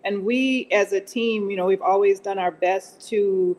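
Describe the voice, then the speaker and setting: A woman's voice: mid-range voice, a little slow, darkish tone, a little hiss or hum, rough recording. A woman speaking as an interview guest, earnest and effusive in a casual, conversational way. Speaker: podcast drama producer and actress, guest.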